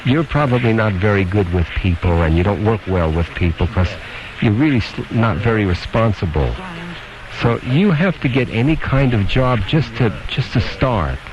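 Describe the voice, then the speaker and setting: A man's voice: on the low side, lively intonation, normal speed, a crowd in the background. A man hosting a radio call-in show, questioning and advising callers in a blunt, probing, opinionated way. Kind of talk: probing phone-in advice conversation. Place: radio studio. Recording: noisy, dull lo-fi AM radio aircheck.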